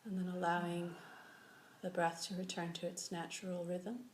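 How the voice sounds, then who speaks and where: A woman leading a guided meditation as a teacher, giving slow, gentle instructions with long pauses. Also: on the low side, little pitch movement. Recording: echoey room with faint background noise.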